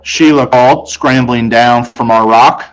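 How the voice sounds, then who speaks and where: A man's voice: medium pitch, steady tone, a little slow, rough recording. Playful man reading a children's story. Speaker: storybook reader. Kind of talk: expressive read-aloud narration of a children's novel in a boy's first-person voice.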